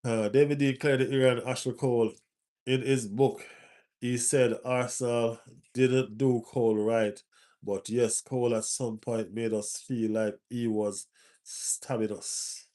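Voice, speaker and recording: A man's voice: mid-range voice, steady tone, unhurried, warm tone. Stuffy-nosed male streamer, laid-back chat, repeats lines for emphasis, mid-range voice. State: stuffy nose. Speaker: football fan streamer. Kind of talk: casual live stream chat.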